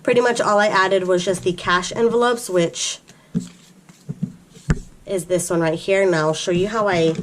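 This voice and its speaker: A woman's voice: on the low side, slight background noise. A woman vlogger who is getting over an illness and stops to catch her breath, chattily walking through planner stickers one by one. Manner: chatty, filler-heavy, describing items one by one. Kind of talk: casual show-and-tell product walkthrough.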